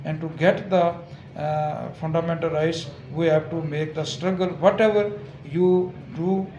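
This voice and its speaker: An older man, an advocate, answering interview questions haltingly with many fillers and restarts. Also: fairly high pitch, steady tone, a little mellow, dull audio. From Azad Kashmir. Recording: echoey with crowd noise.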